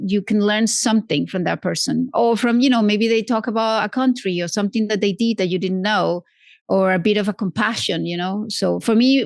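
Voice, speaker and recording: Chatty woman with a gravelly edge. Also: medium pitch, no room sound. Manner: halting, many restarts, curious and chatty. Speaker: podcast guest. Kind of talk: casual interview chat.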